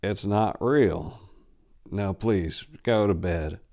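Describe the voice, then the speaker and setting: A man's voice: low voice, darkish tone. A man as a tipsy, weary young priest. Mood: weary placating. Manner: halting, weary politeness. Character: drunk, irritated young Irish priest placating callers.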